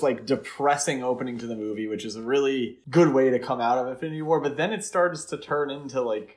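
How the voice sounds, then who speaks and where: A man co-hosting a podcast, giving his opinions on a film in loose, casual conversation. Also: medium pitch. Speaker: podcast host.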